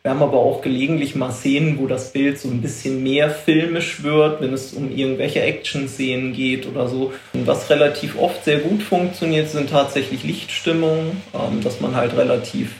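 A man talks as a production expert, explaining his work informally. His voice is mid-pitched with a lot of creak. He is hesitant and corrects himself, and the room sounds echoey. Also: steady tone, moderate pace, hardly any pauses, a little hiss or hum.

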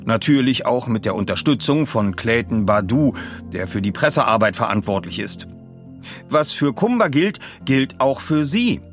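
A man's voice: medium pitch, big pitch swings, normal speed, faint music behind, lightly acted. A man voicing a formal dignitary who gives a gushing, ceremonious thank-you speech in an audio story.

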